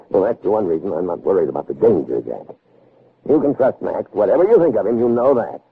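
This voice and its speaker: Casting: insistent scientist father, middle-aged, very lively intonation, raspy edge, vintage radio play on a narrow band. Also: on the low side, brisk, full character voice. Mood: assured, persuasive. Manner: emphatic, authoritative lecturing. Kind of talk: 1960s radio drama acting. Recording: narrow, phone-like old radio recording.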